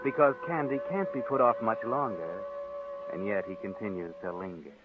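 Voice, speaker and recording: An actor playing a sardonic, all-knowing mystery narrator with an insinuating manner, in old-time radio thriller style. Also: male voice, lowish voice, normal speed, noisy background, lightly acted. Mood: sardonic, ominous. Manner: insinuating, addressing the character as you. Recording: tinny old radio recording, loud music. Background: loud music.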